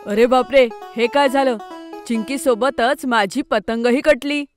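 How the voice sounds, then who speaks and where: A woman's voice: fairly high pitch, a little slow, soft background music, close to an everyday voice. A woman voicing an eager, clever young boy in a children's cartoon story, earnest and explanatory. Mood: dismayed.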